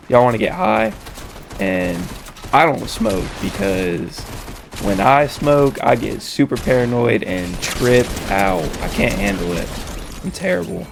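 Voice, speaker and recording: A young man tells a casual story in a creaky, fry-laden voice, slightly hoarse from a head cold. His talk is slangy and full of swearing. There is a faint wash of noise behind him. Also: medium pitch. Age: young adult. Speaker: storytelling host.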